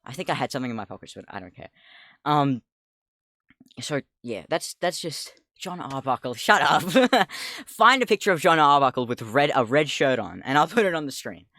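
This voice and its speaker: A man in his twenties with a deep voice, chatting casually on a livestream, rambling, laughing often, swearing and getting sidetracked. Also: big pitch swings, moderate pace, sharp tone, dry recording, clean studio sound. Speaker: streamer.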